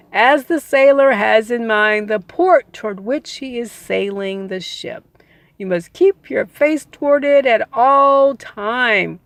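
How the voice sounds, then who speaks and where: A woman's voice: fairly high pitch, gravelly, no echo, clean studio sound. A woman reading a book aloud in an emphatic, instructive way, repeating key phrases and adding informal asides. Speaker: audiobook reader.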